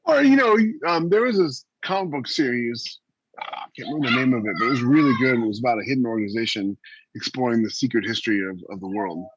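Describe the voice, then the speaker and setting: A man's voice: medium pitch, expressive, fast talker, slightly rough, no room sound. A man co-hosting a podcast in casual chat, circling back over his phrases, on a muffled mic. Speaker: podcast co-host. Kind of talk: casual panel chat about writing fiction. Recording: dull lo-fi mic with faint noise.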